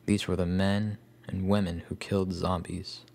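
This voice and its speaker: An actor playing a solemn, bookish loner recalling his boyhood, narrating a scripted horror story with an American accent and grave, measured phrasing. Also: low-pitched, flat delivery, vocal fry, a little mellow. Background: guitar music.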